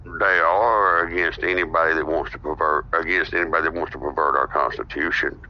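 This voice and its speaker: A man with a very deep voice talks politics as a podcast guest. He explains his views earnestly and steadily. The recording sounds dull and lo-fi. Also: steady tone, brisk, slight background noise. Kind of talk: casual political discussion. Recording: dull lo-fi audio.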